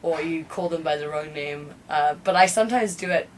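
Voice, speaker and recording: A woman's voice: sits low, fairly quick. A young woman from Hong Kong, a guest on a podcast, chatting casually about her work as a party entertainer with frequent laughs and "like" fillers. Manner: giggly, lots of "like" fillers. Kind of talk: casual chat. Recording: distant mic with faint room noise.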